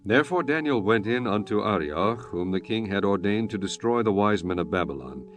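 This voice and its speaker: Low-voiced man narrating scripture over music. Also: expressive, fairly quick.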